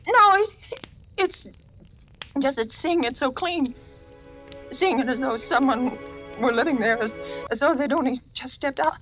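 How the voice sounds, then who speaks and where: An actress playing a terrified, trapped woman in an old-time radio horror drama, speaking in a breathy, faltering, melodramatic way. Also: sits high, a little slow, long pauses, a bit bright, low-quality recording. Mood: panicky. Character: terrified, trapped woman guest fearing for her life. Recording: vintage radio drama, music underneath.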